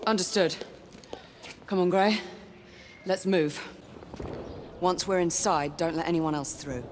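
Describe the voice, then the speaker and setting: A woman voicing a confident, teasing young Victorian knight with a British accent in a video game, brisk and wry. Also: on the low side. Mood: brisk, commanding. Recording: game ambience noise behind the voice.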